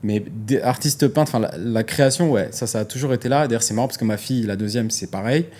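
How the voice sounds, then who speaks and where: Relaxed man in his forties. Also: mid-range voice, fast talker. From France. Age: 40s. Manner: relaxed, joking, says "tu vois" a lot. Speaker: guest artist. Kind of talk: casual interview chat.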